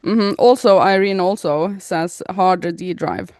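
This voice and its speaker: A woman's voice: fairly low pitch, slow, a little mellow, no room sound, clean studio sound. A woman in her thirties co-hosting a podcast, chatting casually and reading out listener comments with frequent laughter. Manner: giggly, reads comments aloud with frequent laughter. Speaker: podcast co-host.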